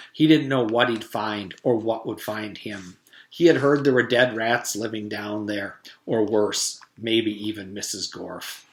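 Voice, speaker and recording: A man's voice: lowish voice, a bit bright. A man, a teacher, reading a children's storybook aloud to his students. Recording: echoey room.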